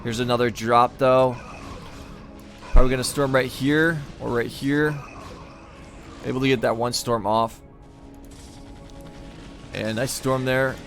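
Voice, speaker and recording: A man commentating a live strategy game match, talking in a relaxed, meandering way and filling gaps with ums and uhs. His voice sits at an ordinary middle pitch and is starting to go. Faint music plays underneath. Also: measured pace, long pauses. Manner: easygoing, thinking aloud with fillers. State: losing his voice. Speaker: game caster.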